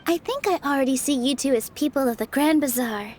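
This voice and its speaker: A woman voicing a kind, hopeful young female dancer in a video game, speaking in a gentle, sincere and welcoming way. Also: sits high, brisk, lightly acted. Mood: hopeful, warm.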